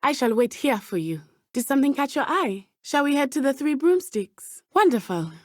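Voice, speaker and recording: A woman's voice: high voice, big pitch swings, moderate pace. An actress playing an earnest, brave and loyal teenage witch in a video game, speaking in formal, careful phrasing. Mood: keen, composed. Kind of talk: video game character dialogue.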